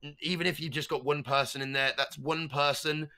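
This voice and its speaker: Bright-voiced man who stutters. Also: on the high side, fairly quick. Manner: animated, bursts into loud meme voices. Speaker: streamer guest. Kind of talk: casual podcast chat.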